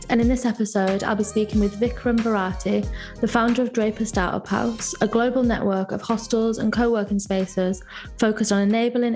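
A woman's voice: mid-range voice, darkish tone. A woman hosting a podcast, reading a polished scripted intro and then asking interview questions. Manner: clear, polished read. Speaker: podcast host.

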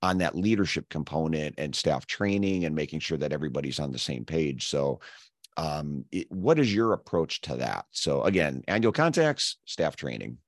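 Scratchy, hoarse deep-voiced man. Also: very animated, normal speed, no room sound, studio quality. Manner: earnest, building long multi-part questions. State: hoarse, losing his voice. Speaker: podcast host. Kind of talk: interview podcast.

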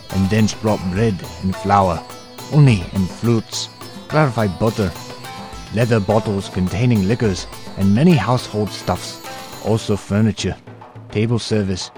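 Guy as a prince narrating his adventure, lowish voice, old timey dramatic audio drama, music clearly behind him. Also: lightly acted. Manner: formal, archaic, expressive. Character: young prince recounting his perilous sea voyage. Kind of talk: dramatic first-person storytelling, audio drama.

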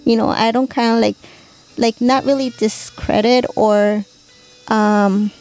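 A woman's voice: fairly high pitch, fairly even intonation, a little slow, darkish tone, faint music behind, poor audio. A woman in her forties co-hosting a podcast, talking hesitantly with lots of fillers and easy laughs. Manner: hesitant, full of "like" and "you know", laughs easily. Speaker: podcast co-host. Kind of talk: casual chat.

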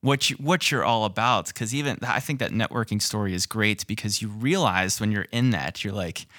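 A man with a slightly low voice on a casual podcast, recorded close and dry with no room sound. He affirms his guest and reflects their story back to them in a supportive way. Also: normal speed, a bit bright, no room sound. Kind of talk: casual chat.

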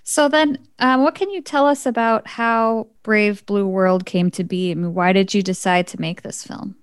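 Female interview host, conversational, smooth clear tone, dry recording. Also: mid-range voice, steady tone, normal speed, no echo.

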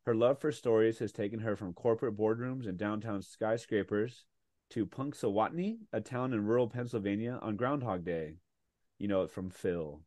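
A man's voice: fairly low pitch, normal speed, a little mellow, no echo. A man hosting a podcast, reading a guest introduction and then chatting eagerly with many fillers. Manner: chatty, enthusiastic, with frequent fillers. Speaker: podcast host. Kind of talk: casual interview chat.

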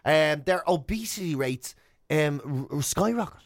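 Higher-pitched Irish man, bantering radio host. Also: expressive, moderate pace, dry recording, studio quality. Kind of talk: casual comic radio banter. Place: radio studio. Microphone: close broadcast microphone.

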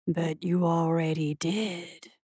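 A woman voicing a seductive, soothing demon in an audiobook, with a hushed purr and a coaxing, menacingly gentle manner. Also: lowish voice, slow pace, no room sound, studio quality, voice pushed down, full character voice. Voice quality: breathy, hushed, humming purr. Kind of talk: audiobook character voice.

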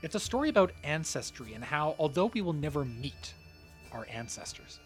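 Animated male podcast host. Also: a little high, moderate pace, soft background music. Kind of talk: scripted podcast narration.

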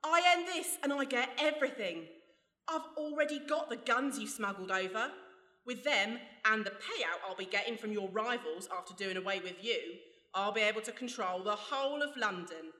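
Woman as a bright, gleeful villainess. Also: mid-range voice, normal speed, natural voice. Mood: mocking triumph. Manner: breezy, mocking. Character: ruthless, gleeful female criminal mastermind, scheming and cocky. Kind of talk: radio play acting. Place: stage before a live audience. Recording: echoey live hall.

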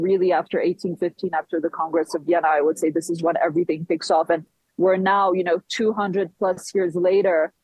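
A woman from Switzerland giving live political commentary as a guest, speaking haltingly with frequent pauses. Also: lowish voice, darkish tone, breathy edge, no room sound, poor audio. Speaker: guest commentator reporting from a conference town.

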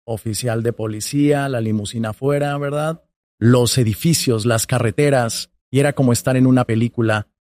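A man, telling his life story as a podcast guest in a warm, storytelling way. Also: medium pitch, fairly even intonation, moderate pace, dry recording, clean studio sound. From Colombia. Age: 40s.

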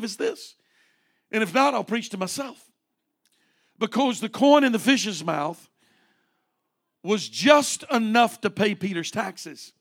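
A man's voice: sits high, creaky, a bit bright. A man in his late forties, a pastor preaching a fervent sermon with rising repeated refrains. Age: 40s.

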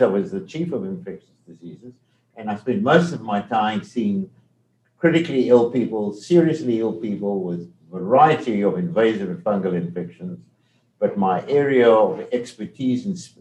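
Older man, South African accent, careful explainer, low pitch, roomy echo. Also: dark tone. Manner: measured, explanatory, frequent restarts. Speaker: medical professor guest. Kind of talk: explanatory interview talk.

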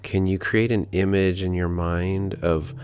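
Slow, low-voiced man guiding meditation. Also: a little hiss or hum. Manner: calm, gentle, unhurried with long pauses. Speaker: podcast host leading guided meditation. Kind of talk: guided prayer meditation with scripture reading. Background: background music.

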